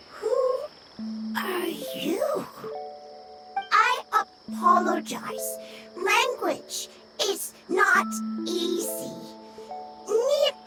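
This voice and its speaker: A timid little fungus creature pieces together slow, broken phrases in a very high voice. It sits back from the mic, with game music washing over it. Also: female voice, animated, distant mic, full character voice. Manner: halting broken speech, short words. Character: small newly awakened fungus creature, timid. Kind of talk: video game voice acting.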